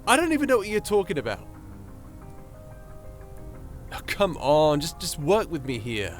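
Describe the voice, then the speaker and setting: A man playing a friendly, excitable god in an audio roleplay, chatty and casual, easily flustered into stammering. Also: fairly high pitch, moderate pace, faint music behind.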